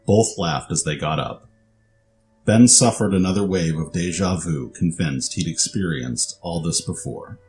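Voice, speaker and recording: A man narrates a dramatic story in a very low-pitched voice. Faint music plays beneath his scripted telling. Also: measured pace, a little mellow.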